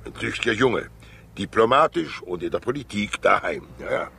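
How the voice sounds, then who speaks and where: A fat, wily old state councillor in a vintage radio crime play. His very low voice swings widely in pitch as he rambles theatrically and teases, on a slightly hissy old recording. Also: expressive, gravelly, sharp tone, heavily acted. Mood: confiding, sly. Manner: theatrical, jovial, rambling, with pauses and asides. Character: fat, wily, talkative state councillor who loves teasing people. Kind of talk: 1960s radio crime drama acting.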